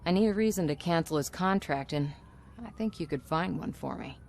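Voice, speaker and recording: A woman's voice: low-pitched, a little fast, vocal fry, darkish tone, slight background noise. An actress playing a scheming, manipulative woman in charge of a commercial shoot in a video game, sly and assertive. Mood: sly, conspiring. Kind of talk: video game cutscene acting.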